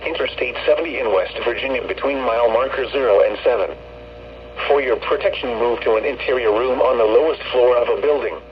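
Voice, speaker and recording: A synthetic man's voice reads formal weather warning bulletins in a measured, impersonal way over weather radio. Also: mid-range voice. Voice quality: synthetic text-to-speech. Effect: weather radio broadcast. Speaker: automated weather radio announcer. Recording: muffled lo-fi radio with hum, noise.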